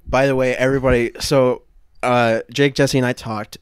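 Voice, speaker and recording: A young man talks casually as a podcast co-host, in a middle-pitched voice. The sound is dry and close, with little room to it. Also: flat delivery, moderate pace, clean tone, dry recording. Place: small room at home on a video call.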